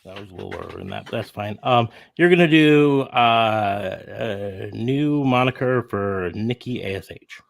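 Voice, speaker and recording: Low-voiced man chatting casually. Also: animated, a little slow, vocal fry, hardly any pauses. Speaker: podcast host. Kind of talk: casual wrestling fantasy booking chat.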